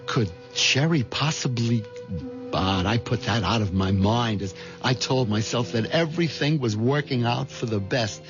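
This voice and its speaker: A man's voice: mid-range voice, measured pace, close to an everyday voice. A man acting a nervous, hesitant American husband caught up in a murder plot, in a 1970s radio drama. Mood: grim, confessional. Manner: hesitant, stammering under pressure. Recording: dull vintage radio recording with music behind.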